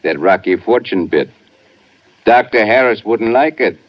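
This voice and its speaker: A man playing a big, calmly menacing sanitarium orderly in a 1950s radio drama, patronizing and understated. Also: fairly low pitch, measured pace. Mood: placid menace. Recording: muffled vintage 1950s radio recording.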